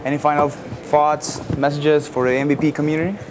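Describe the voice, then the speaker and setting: A man's voice: a little high, little pitch movement, brisk, noisy background. A man from Venezuela interviewing a fellow attendee at a conference, with brief encouraging interjections. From Valencia, Venezuela. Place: busy conference venue.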